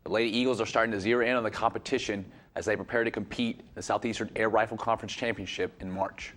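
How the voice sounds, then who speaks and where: A man reporter reading a quick, scripted news intro. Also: lowish voice, steady tone, a bit bright.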